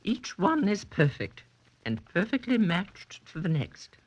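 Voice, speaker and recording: An actress playing a wealthy, commanding old grandmother with a husky, haughty delivery in a 1940s radio drama. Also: medium pitch, very animated, unhurried, highs cut off. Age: older.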